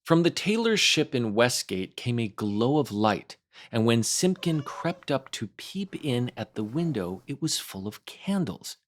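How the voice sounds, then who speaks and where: A man's voice: medium pitch, big pitch swings, measured pace. A man reading a classic children's tale aloud as a scripted storytime narration. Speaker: storytime host and reader.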